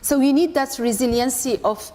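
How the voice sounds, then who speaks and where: A woman from Morocco, speaking as a panelist in a fairly high voice. She lays out her points one by one, numbering them as she goes. Her words carry a long echo, as in a large conference hall. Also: moderate pace, warm tone. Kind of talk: panel discussion.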